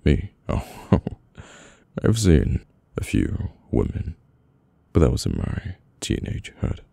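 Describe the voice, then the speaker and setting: Man acting as brooding British mafia boss, extremely low gravelly voice, halting murmur, guarded and weary, intimate ASMR audio drama. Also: wildly swooping pitch, dark tone, no room sound, heavily acted. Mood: wistful, regretful.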